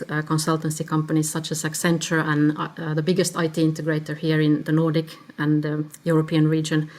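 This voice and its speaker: A Finnish woman in her fifties with a Finnish accent, a podcast guest explaining her career in a measured way, stopping now and then to find the word. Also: low-pitched. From Finland. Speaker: podcast guest, agency CEO. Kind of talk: conversational interview answers.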